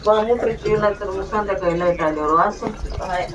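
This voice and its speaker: A woman's voice: mid-range voice, normal speed. A woman, chatting casually and informally. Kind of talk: casual chat.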